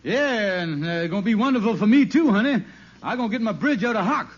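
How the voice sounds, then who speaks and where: An actor with an American accent playing a scheming, smooth-talking lodge brother in an old radio sitcom, hesitant and wheedling with folksy grammar. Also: male voice, high-pitched, expressive, fast talker. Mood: sly, pleased. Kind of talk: 1950s radio sitcom acting. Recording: muffled old radio recording.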